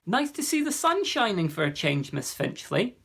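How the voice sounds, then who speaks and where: A man's voice: high-pitched, normal speed, vocal fry, husky, pitched above the performer's own voice, full character voice. A man reading aloud, voicing a cheerful, polite young schoolboy. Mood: friendly. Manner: lively and friendly.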